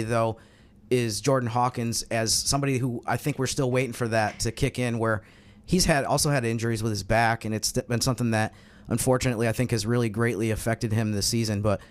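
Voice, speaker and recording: A man hosting a sports podcast, talking through analysis in a conversational way. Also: medium pitch. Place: studio roundtable.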